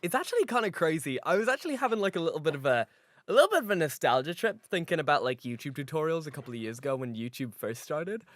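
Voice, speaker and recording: A high-voiced man chatting on a podcast, his pitch leaping all over the place as he rambles, swears and restarts his sentences. His tone is bright and sharp, and it is picked up dry and close on the mic. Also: sing-song, quick pace, no echo. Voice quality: relatively high voice. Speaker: podcast co-host. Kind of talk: casual podcast chat.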